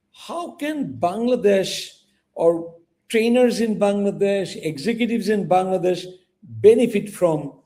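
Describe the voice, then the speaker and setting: A man's voice: sits high, warm tone. A man interviewing a guest, courteous and meandering, with frequent fillers and restarts. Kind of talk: interview conversation.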